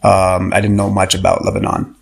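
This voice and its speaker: A man's voice: deep voice, monotone, fairly quick, gravelly, husky, faint music behind. A young man from Canada, a podcast guest, talking casually with lots of fillers and restarts. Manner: rambling, many fillers and restarts. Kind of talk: casual interview talk.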